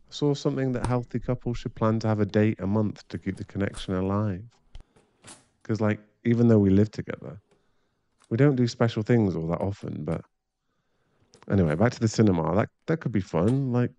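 British male, acting, caring young boyfriend for ASMR roleplay; soft-edged mellow tone, close dry sound. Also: lowish voice, no room sound, highs cut off. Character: caring young boyfriend, chatty and easily flustered.

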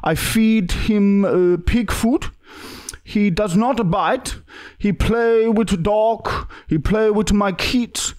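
A man's voice: high-pitched, takes it slowly, darkish tone. A young man voicing a pushy foreign man with broken English on a prank call, full of hesitant fillers. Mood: pleading. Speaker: prank caller. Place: small studio room indoors. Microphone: podcast mic on boom arm.

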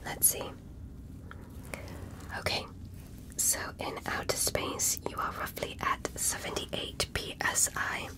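A woman whispering in an ASMR roleplay as a courteous alien doctor, giving careful, formal instructions in a breathy voice. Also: poor audio. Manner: slow, careful, gently instructing, formal wording. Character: curious, courteous alien doctor examining a human. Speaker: ASMR performer playing an examiner.

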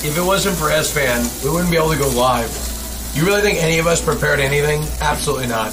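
A man's voice: on the high side, quick pace. A young man chatting casually as a guest on a livestream at a restaurant table, with food sizzling. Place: Korean barbecue restaurant, indoors.

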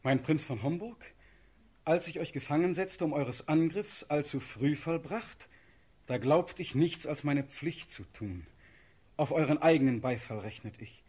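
Brooding young prince, slow-spoken. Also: male voice, fairly high pitch, darkish tone, husky. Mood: stunned. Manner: solemn, declamatory delivery. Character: young condemned prince, brooding, dreamy and proud. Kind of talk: classic verse radio drama acting.

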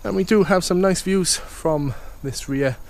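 A man's voice: sits high, unhurried, vocal fry, a little mellow, slight background noise. A man, an estate agent, giving an upbeat walkthrough tour of a house, full of sales patter and repeated "okay" and "fantastic".

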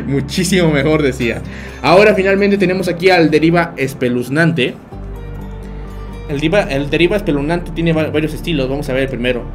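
A man's voice: fairly high pitch, animated, normal speed. Young man, Mexican accent, game streamer, jokey, laughs a lot, faint music behind. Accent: Mexican Spanish. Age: young adult. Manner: laughs often, joking storytelling. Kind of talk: live game stream chat. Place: small bedroom.

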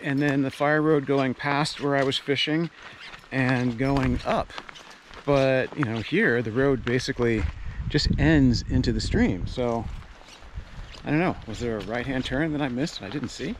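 Rambling man by a rushing stream. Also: mid-range voice. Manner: rambling, thinking aloud with fillers and restarts. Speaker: fishing vlogger. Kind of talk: casual narration while hiking and fishing. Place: outdoors by a mountain stream. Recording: outdoor recording with stream noise. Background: rushing stream water.

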